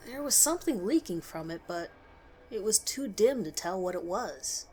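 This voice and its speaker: A woman's voice: mid-range voice, animated, normal speed, dark tone, a little hiss or hum. A woman reading a scripted horror story as its narrator.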